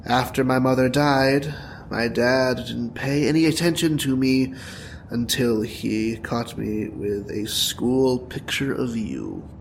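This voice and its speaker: A man's voice: medium pitch, slow. An actor playing a bitter, wounded young male supervillain in an audio drama, taunting and dramatic in manner. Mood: bitter, accusing. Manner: taunting, dramatic, profane, breaking into whispers and laughter. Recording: clear background noise and ambience.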